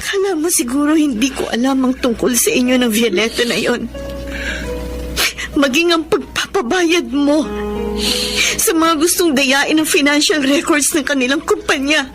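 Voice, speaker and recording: Actress as high-voiced, long-suffering devoted wife. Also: female voice, moderate pace, over music, natural voice. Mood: hurt, reproachful. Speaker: radio drama actor. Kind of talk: Filipino radio drama acting.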